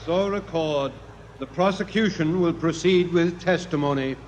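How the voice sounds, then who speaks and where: An actor in a 1950s radio drama playing a stern courtroom judge, formal and declamatory. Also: male voice, fairly high pitch, normal speed, close to an everyday voice. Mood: stern, solemn. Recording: noisy, dull old radio recording.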